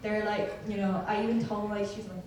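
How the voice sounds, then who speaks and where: A woman's voice: mid-range voice, level tone, a little mellow. Young woman, Burmese accent, hesitant, fillers; distant mic in a big echoey hall. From Myanmar. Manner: hesitant, halting, many fillers. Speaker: student asking a question. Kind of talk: audience question at a summit. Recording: echoey hall from far off, faint noise.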